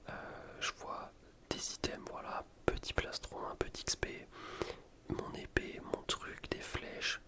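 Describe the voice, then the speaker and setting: A man speaks in a soft, breathy whisper with no voicing at all. He narrates gameplay in an ASMR style, rambling informally and poking fun at himself. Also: slow pace. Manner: informal, rambling, self-deprecating. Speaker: gaming video creator.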